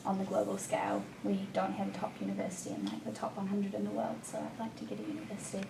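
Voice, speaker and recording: Creaky-voiced young woman from New Zealand. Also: medium pitch, level tone, fast. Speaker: student. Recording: distant mic, echo and noise.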